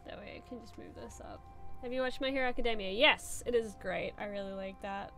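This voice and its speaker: A woman's voice: medium pitch, fairly quick, fairly bright tone, faint music behind. A young adult woman chatting casually while she draws on a live stream, answering chat with many "like" and "um" fillers. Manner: rambling, full of "like" and "um" fillers. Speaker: streamer drawing and reading chat.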